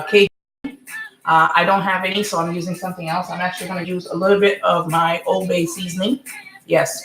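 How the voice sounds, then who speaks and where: A woman in her forties from Senegal hosting a casual live cooking stream from her home kitchen, chatty and rambling, often asking "okay?", with a slightly hoarse voice. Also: lowish voice, fairly bright tone, echoey room, rough recording. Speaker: home cook, channel host. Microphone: computer or phone camera mic.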